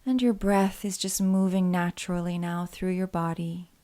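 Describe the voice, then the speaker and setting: A woman leading a guided body scan meditation in a soothing, gentle and unhurried way, leaving pauses between phrases. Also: lowish voice, little pitch movement.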